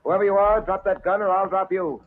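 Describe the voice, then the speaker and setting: A man's voice: sits high, fairly even intonation, a little fast, no room sound, lightly acted. An actor playing a tough, resolute male detective hero in a vintage radio serial, clipped and commanding. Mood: firm, challenging. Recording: tinny, noisy old radio recording.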